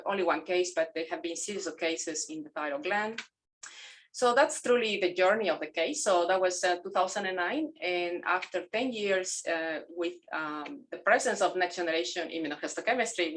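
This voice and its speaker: A middle-aged woman from Mexico, a native Spanish speaker, presenting a pathology case on a video call, halting with fillers and self-corrections. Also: on the low side, a bit bright, poor audio.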